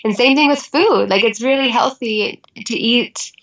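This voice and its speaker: A woman's voice: a little high, moderate pace, a bit bright, dull audio. A woman guest on a podcast, talking in an earnest, rambling casual way. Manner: earnest, rambling with many likes. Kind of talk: casual chat.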